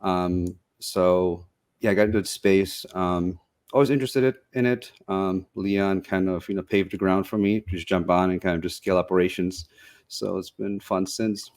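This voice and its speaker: A man's voice: sits low, steady tone, normal speed, a little mellow. A man talking casually as an investor guest in a podcast interview.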